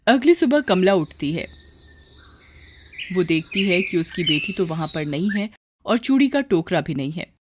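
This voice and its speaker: Lowish-voiced woman narrating a story. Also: very animated, moderate pace, background noise.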